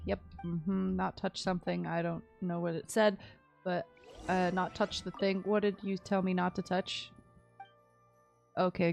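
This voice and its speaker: A woman's voice: mid-range voice, vocal fry. A woman streams a game with a playful, chatty manner. She takes her time between thoughts and murmurs little sound words to herself. Video game music plays behind her voice. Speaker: live streamer. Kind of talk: casual gameplay stream chat. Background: soft video game background music.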